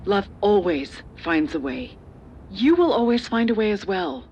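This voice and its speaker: A woman's voice: mid-range voice, sing-song, dull audio, a little hiss or hum. A middle-aged woman playing a calculating, slightly condescending corporate official and parent in a dramatic video game. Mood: persuasive, insistent. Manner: measured, persuasive, slightly condescending. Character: older female corporate official and parent, calculating, persuasive, patronizing.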